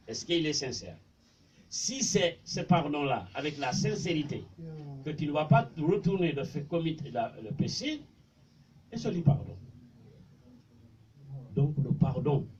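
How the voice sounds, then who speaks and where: A man with a Senegalese French accent, whose first language is Mandinka, preaches informally, circling back over his points and reciting Arabic verses. His voice sits a little high and swings widely in pitch, with a mellow tone. He sounds distant from the mic on a dull, faintly noisy recording. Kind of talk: informal religious talk.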